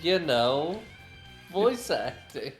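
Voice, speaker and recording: A man chatting casually as co-commentator on a game livestream, with deadpan jokes. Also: a little high, drawn-out words, faint music behind. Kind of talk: casual chat.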